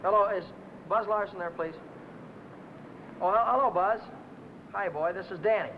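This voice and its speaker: An actor playing a restless young auto mechanic short of money, with an American accent and breezy, slangy talk in old crime film dialogue. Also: male voice, sits high, normal speed, sharp tone. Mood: eager, friendly. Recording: noisy old 1950 film soundtrack.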